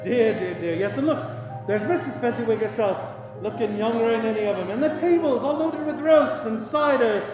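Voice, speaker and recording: A man's voice: extremely high pitch, a little fast. Old male miser for radio drama: remorseful, agitated, theatrical, in long reverb with music behind. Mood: delighted, nostalgic. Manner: emotional, theatrical, repeating words in agitation. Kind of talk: radio play acting.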